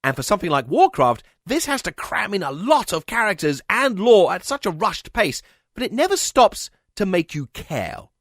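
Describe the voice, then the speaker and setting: A man's voice: high voice, big pitch swings, normal speed, fairly bright tone, dry recording, studio quality. A man narrating a scripted comedic video essay as its host, with a sardonic, emphatic delivery.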